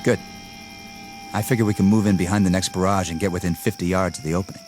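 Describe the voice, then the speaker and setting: An actor playing a zealous young army lieutenant in a radio drama, commanding and scornful in a clipped way. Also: male voice, low-pitched, steady tone, quick pace, darkish tone, natural voice. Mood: confident, cold. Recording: radio drama with music underneath.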